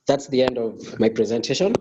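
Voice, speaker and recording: A man's voice: medium pitch, lively intonation, a little fast. A man giving a presentation in a webinar over an online call. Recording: dull, noisy online call.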